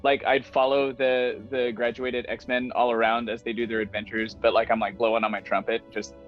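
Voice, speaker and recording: A man talking casually and haltingly as a player on a roleplaying stream. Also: medium pitch, flat delivery. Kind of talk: casual chat, self-introduction. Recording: dull lo-fi mic, faint music. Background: music.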